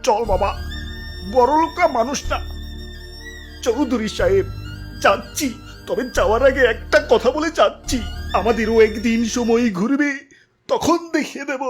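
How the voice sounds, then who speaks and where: A man's voice: extremely high pitch, normal speed, long pauses, faint music behind. A man playing a poor but proud lemon father in a comic cartoon, earnest and melodramatic, with a Bangladeshi accent. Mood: wounded pride.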